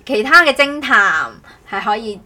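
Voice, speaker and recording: A woman's voice: a little high, sing-song, a little slow, pushed and bright. A woman with a Cantonese accent hosting a podcast in casual chat, rambling a little and rephrasing herself as she goes. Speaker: podcast host.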